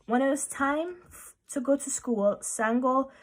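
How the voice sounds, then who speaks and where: A woman reading a children's picture book aloud as narrator, with expressive storytelling. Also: high-pitched, measured pace.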